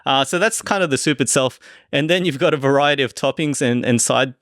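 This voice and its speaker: A man's voice: mid-range voice, fairly quick, fairly bright tone, no echo, studio quality. A middle-aged man with an Australian accent, co-hosting a casual food and wine chat in an explanatory, conversational way. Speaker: podcast co-host.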